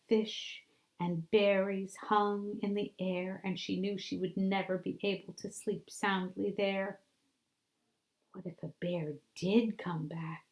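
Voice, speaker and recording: A woman reading a children's story aloud like a teacher, with deliberate, dramatic phrasing that drops into hushed tones. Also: mid-range voice, low-quality recording.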